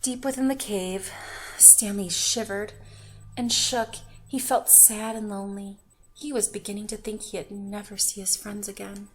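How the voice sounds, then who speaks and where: A woman reading a children's picture book aloud expressively, with questioning asides to young listeners and drawn-out emphasis. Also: mid-range voice, steady tone, a little hiss or hum, low-quality recording. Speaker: children's story reader.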